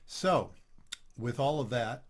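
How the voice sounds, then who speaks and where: A man's voice: mid-range voice, slow. Chatty older man hosting a podcast. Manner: relaxed, chatty, self-deprecating humor. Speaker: podcast host, couples therapist. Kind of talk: casual podcast chat.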